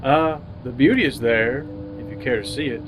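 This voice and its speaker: A man's voice: mid-range voice, normal speed, background noise, natural voice. An actor playing an earnest, jaded young ad man who narrates his misadventures in old-time radio drama style. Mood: tender, earnest.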